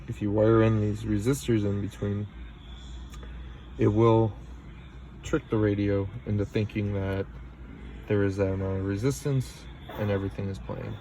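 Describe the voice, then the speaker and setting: A man's voice: fairly low pitch, mellow, slight background noise, low-quality recording. A man talking casually and plainly as he gives a hands-on tutorial as a car audio installer.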